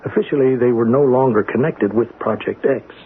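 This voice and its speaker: A man's voice: medium pitch, brisk, close to an everyday voice. An actor playing a grave, matter-of-fact male doctor, explaining emphatically in a 1970s radio drama. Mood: resigned, explanatory. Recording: muffled, noisy old radio broadcast.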